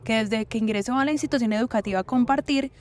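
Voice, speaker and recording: A woman's voice: fairly high pitch, fast, a little mellow. A woman reading a scripted news report as a voice-over.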